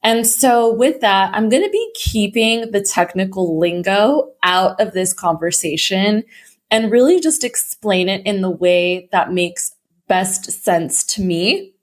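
A woman hosting her own podcast in a casual, upbeat way, her voice slightly hoarse. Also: mid-range voice, expressive, slow. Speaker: podcast host. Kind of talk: casual solo podcast talk.

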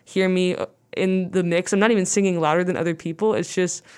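A young woman with a low, smooth and clear voice chats loosely as an interview guest. She rambles through her thoughts, filling them with "like" and small restarts. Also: fairly even intonation, a little fast, darkish tone. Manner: rambling, says "like" a lot. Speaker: guest musician. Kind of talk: casual interview chat.

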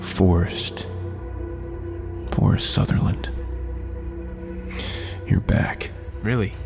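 A brooding man in his late twenties for a radio drama, with a very low voice and a slow delivery. He is guarded and hesitant, quietly suspicious, with a noticeably gravelly edge. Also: music in the background, exaggerated voice. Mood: stunned. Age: late 20s. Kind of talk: radio drama acting with brooding inner narration.

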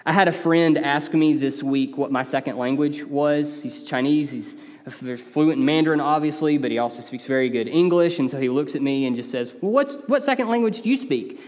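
A man's voice: fairly high pitch, a little fast. A man with an East Tennessee accent preaching a sermon, earnest and conversational, with emphatic rises. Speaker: pastor. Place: church sanctuary. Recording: long reverb of a large hall.

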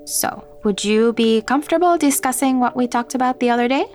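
An actress playing a calm, supportive woman in an audio drama, gentle and measured, like an attentive mentor. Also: on the high side, faint music behind, natural voice. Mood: welcoming, attentive. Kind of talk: naturalistic audio drama acting.

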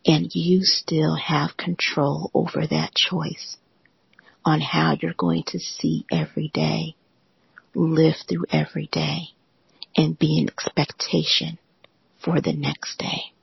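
Slow, breathy, gravelly soothing voice. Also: mid-range voice, expressive, no echo, highs cut off. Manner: gentle, reassuring, often whispery. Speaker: host of a live audio chat room. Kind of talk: encouraging motivational talk.

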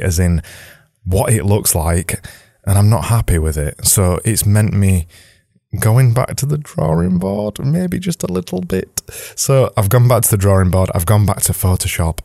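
A man hosting a podcast as a casual audio diary from his attic at home, his voice slightly raspy, rambling and cutting in on himself. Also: deep voice, warm tone, dry recording, studio quality. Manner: rambling, self-interrupting. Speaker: podcast host.

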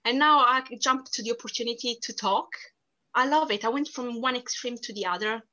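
A woman from Sicily speaks English with a strong Italian accent, her first language being Italian. Her voice is bright and forceful, and she talks earnestly, pushing her points with emphasis and frequent restarts. The sound is dull and lo-fi. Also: a little high, fairly quick. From Sicily, Italy. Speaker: podcast guest. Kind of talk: casual interview talk.

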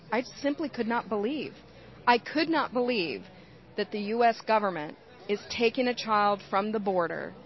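A woman giving earnest, explanatory interview answers in the manner of a trainer. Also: mid-range voice. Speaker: interview guest, whistleblower. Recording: dull, lo-fi sound.

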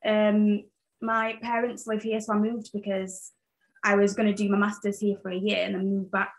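Young woman podcast host, late twenties, from Zambia and England, flat delivery, loose chatty manner. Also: medium pitch, moderate pace, rough recording. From Zambia, raised in England. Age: 20s. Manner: chatty, rambling, with fillers. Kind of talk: casual solo podcast chat.

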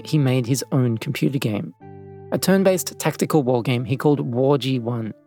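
A man's voice: mid-range voice, warm tone. Male documentary narrator over music. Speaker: podcast host and narrator.

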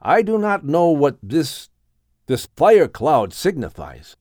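A male narrator plays a solemn old father from a Bible storybook. He speaks slowly and reverently, with very dramatic rises and falls, in a dry recording. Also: fairly high pitch, sing-song, darkish tone, no echo. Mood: solemn awe. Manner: measured, weighty, reverent.

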